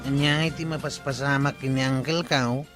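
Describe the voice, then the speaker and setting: A man's voice: medium pitch, steady tone, fairly quick, a bit bright, soft background music, lightly acted. A man playing a simple, cheeky village drinking buddy in a comedy radio drama. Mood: sheepish.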